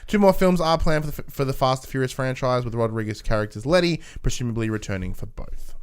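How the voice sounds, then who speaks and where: A man with a clear, smooth voice and mid pitch hosts a casual film podcast. He moves between reading news items and offering blunt opinions, and he is happy to swear. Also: expressive, quick pace. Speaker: podcast host.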